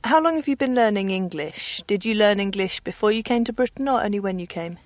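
A woman radio presenter interviewing a learner and narrating in a clear, measured way. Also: medium pitch, expressive, no echo.

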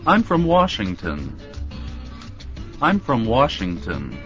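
A man reading model sentences slowly and deliberately for a language drill. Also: medium pitch, huge pitch swings, a bit bright. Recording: dull lo-fi recording with music.

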